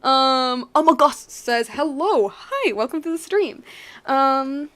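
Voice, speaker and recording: A young woman giving casual, chatty live gameplay commentary, rambling and excitable as she goes. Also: sits high, slow pace. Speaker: game streamer.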